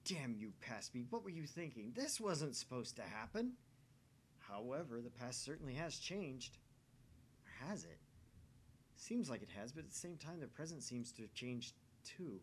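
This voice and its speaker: A man's voice: medium pitch, very animated, moderate pace, close to an everyday voice. A bewildered, intense young man from a visual novel, read out by a man. He speaks in a middle register with a dramatic, questioning bent. Slight noise is audible behind him. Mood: dramatic, troubled. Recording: faint background noise.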